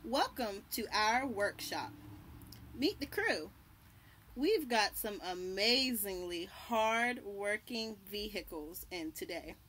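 A young adult woman reading a picture book aloud to children, deliberately, pausing to point out and label the pictures. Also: mid-range voice, animated, a bit bright. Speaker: children's read-aloud host. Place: outdoors on a house porch by trees. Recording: birdsong and light outdoor background noise. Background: birds chirping.

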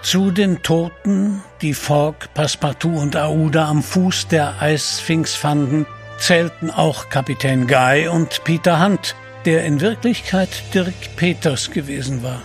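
A man narrates a dramatic adventure story. His slightly high voice moves slowly and solemnly, with faint music underneath.

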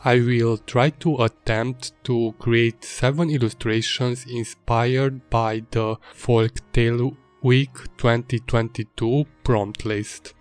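A man whose first language is Hungarian, calmly narrating his illustration process as a voice-over. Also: medium pitch. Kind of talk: voice-over narration of a drawing video. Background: faint music.

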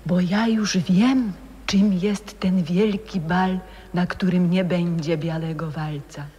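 A calm old friend, once a singer, speaks slowly and solemnly with an uncanny stillness. Every line is measured and unhurried. The old radio play recording adds a long, hall-like reverb. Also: female voice, lowish voice. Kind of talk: 1960s radio play acting.

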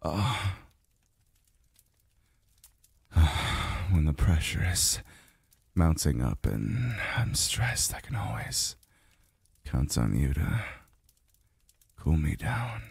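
Guy doing a super low gravelly boyfriend asmr voice, slow soft murmur, tender and devoted. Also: big pitch swings, dry recording, full character voice. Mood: relieved, tender. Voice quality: very deep, breathy, gravelly. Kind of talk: intimate ASMR roleplay. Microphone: close mic, dry sound.

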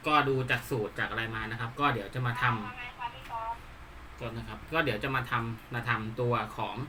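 A man giving an unscripted hands-on tutorial, explaining politely in a halting, repetitive way. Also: medium pitch, sing-song, noisy background. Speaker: hobbyist presenter demonstrating a DIY build.

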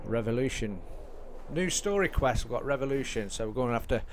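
A man's voice: medium pitch, animated, a little fast, very noisy. A man giving casual let's-play commentary as he streams a game. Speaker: gaming streamer. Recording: loud game music over commentary. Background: game music.

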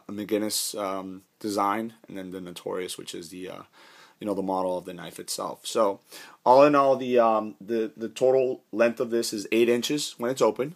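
Hoarse man rambling through a review. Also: lowish voice. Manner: rambling, many fillers and restarts. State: hoarse from a cold. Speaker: knife reviewer. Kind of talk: casual product review. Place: indoors, carpeted room.

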